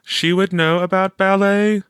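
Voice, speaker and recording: A young schoolgirl , as a man reader puts her on, much higher than his narration. She is polite and questioning. It is a dry storybook recording. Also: level tone, unhurried, fairly bright tone, clear, resonant tone, no echo. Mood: politely curious. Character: inquiring young seventh-grade schoolgirl.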